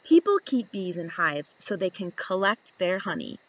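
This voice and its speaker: A woman reading a children's picture book aloud, slow and lively, lifting into high voices for the questions. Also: poor audio. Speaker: storybook reader.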